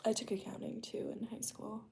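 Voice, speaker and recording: A woman's voice: fairly quick, warm tone. A woman in her early twenties, chatting casually with her viewers from home, her talk loose and peppered with "um" and "like.". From United States. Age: early 20s. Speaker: vlogger chatting with her live chat. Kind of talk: casual chat while working. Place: her home, working remotely.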